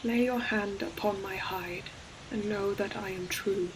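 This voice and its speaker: A woman voicing an ancient, gentle forest demon in a scripted audio roleplay, speaking tenderly in archaic storybook phrasing. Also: mid-range voice, steady tone, measured pace, lightly acted. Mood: solemn, fond. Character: ancient gentle forest demon, hulking moss-covered creature. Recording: clear forest ambience noise behind voice. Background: forest nature sound effects with animals.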